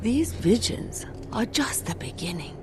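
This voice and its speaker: A woman acting a coldly manipulative shamaness warlord in a video game, hushed and menacing. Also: medium pitch, sing-song, unhurried, noisy background. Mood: hypnotic, coaxing.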